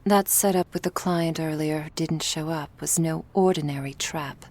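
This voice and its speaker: A woman playing a cool, menacing female vampire crime boss in an ASMR roleplay, speaking in a measured, authoritative way. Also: low-pitched, darkish tone. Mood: coolly suspicious.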